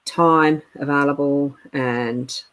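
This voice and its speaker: A middle-aged woman co-hosting a live spiritual chat stream, talking in a meandering, halting way. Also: sits low, fairly even intonation, poor audio. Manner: meandering, halting, laughs often.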